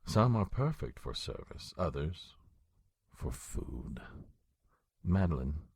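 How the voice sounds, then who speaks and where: An actor playing an ancient, haughty, menacing male vampire lord in an audio drama, with a breathy rasp and a pompous, deliberate manner. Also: extremely low pitch, big pitch swings, darkish tone, dry recording, studio quality, full character voice. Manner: slow, pompous, coldly deliberate.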